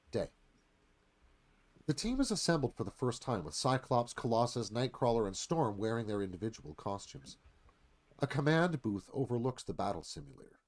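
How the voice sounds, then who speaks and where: A man narrating stage directions in a dramatic, storytelling screenplay read. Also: medium pitch.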